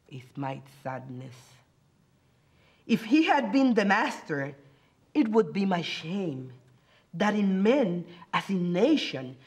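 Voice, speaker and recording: A woman in her forties with a Puerto Rican accent and Spanish as her first language, reciting poetry dramatically and emphatically with deliberate pauses. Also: on the low side. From Puerto Rico. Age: 40s. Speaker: bilingual special education teacher.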